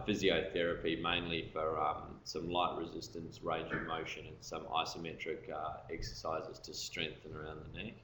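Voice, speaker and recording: Male registrar, very low voice, halting lecture delivery, roomy recording. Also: fairly bright tone. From Australia. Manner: hesitant, many ums and uhs, trailing off at phrase ends. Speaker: orthopaedic registrar presenting. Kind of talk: recorded medical lecture over slides. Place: hospital lecture room. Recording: echoey room, faint background hiss.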